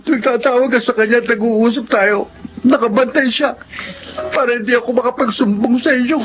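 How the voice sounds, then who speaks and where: Very high-voiced, timid young Filipino son. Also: male voice, steady tone, fairly quick, over music. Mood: tearful, hurt. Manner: hesitant, pleading. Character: timid, soft-hearted young son turned reluctant thief. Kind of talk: Filipino radio drama acting. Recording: muffled lo-fi recording.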